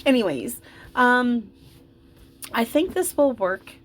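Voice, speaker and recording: A woman's voice: on the high side, very animated, takes it slowly, a little hiss or hum. Casual, rambling woman chatting about her hobby. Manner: informal, rambling, effusive about the doll. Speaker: doll hobbyist vlogger. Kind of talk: casual, chatty commentary while dressing a doll.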